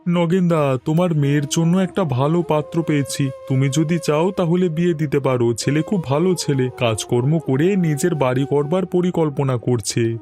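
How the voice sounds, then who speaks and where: A friendly cartoon villager, voiced by a man, speaking in a fairly high voice. He plays the helpful go-between over a light music bed. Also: normal speed, mellow, natural voice. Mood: upbeat, persuasive. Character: friendly village customer bringing a marriage match. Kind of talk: Bengali cartoon dialogue.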